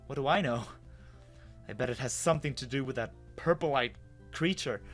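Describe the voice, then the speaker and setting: Expressive male story narrator with lively pitch. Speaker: narrator of a dramatic reading. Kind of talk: dramatic first-person story narration.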